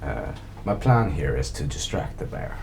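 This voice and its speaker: Male tabletop player, deep and unhurried, feeling his way through improvised narration, clear background noise. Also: very animated, a little mellow. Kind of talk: casual improvised role-play narration.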